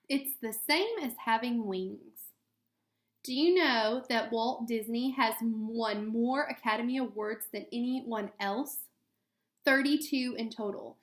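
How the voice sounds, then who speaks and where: A woman with a fairly high voice reads a children's book aloud like a schoolteacher. Her delivery is expressive and aimed at young listeners, and the room adds a clear echo around her words. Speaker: elementary school teacher. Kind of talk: reading a children's picture book aloud with asides to students. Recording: echoey room sound.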